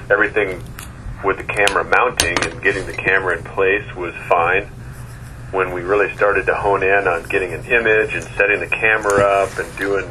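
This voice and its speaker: A man's voice: low-pitched, noisy background. A man giving a hesitant, informal project update on a conference call, with many fillers.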